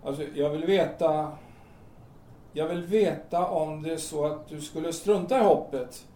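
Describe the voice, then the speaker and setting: Mellow, roomy actor as a persistent carpenter. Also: male voice, on the high side, slight background noise, close to an everyday voice. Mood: insistent, earnest. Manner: blunt, insistent questioning. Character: calm, persistent male carpenter coaxing a desperate stranger. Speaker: actor in an audio drama. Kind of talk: naturalistic radio drama dialogue. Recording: roomy, distant microphone.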